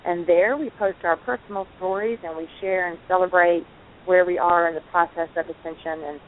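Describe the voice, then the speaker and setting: A woman's voice: on the low side, steady tone, brisk, a little hiss or hum. A woman co-hosting a spiritual talk show, speaking enthusiastically and effusively. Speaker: co-host. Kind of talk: casual chat on a call-in show. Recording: tinny phone line.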